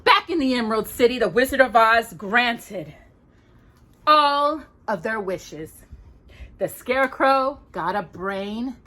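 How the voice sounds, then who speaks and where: A woman hosts a children's storytime. Her voice is high and swings widely in pitch, and she takes her time, drawing words out playfully. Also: slow. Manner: expressive, drawn out, playful. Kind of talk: theatrical children's storytelling with casual asides.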